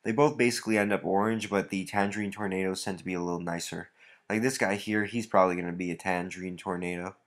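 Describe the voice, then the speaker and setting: Man, low-pitched, stuffed-up nose, relaxed rambling talk, vlog walkthrough. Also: quick pace. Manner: relaxed, rambling, many fillers. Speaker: reptile breeder.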